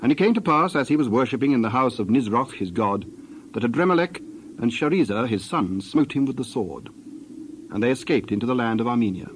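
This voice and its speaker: A mid-pitched man serves as narrator for a scripture drama. His delivery is solemn and scripted. There is clear noise behind his voice. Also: lively intonation, brisk, rough recording.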